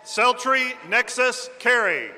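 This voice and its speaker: A man reading graduate names at a podium microphone in a large indoor arena, slow and measured. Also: very high-pitched, very animated, bright, forceful tone, slight background noise. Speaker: name reader at graduation. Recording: echoey arena with faint ambience.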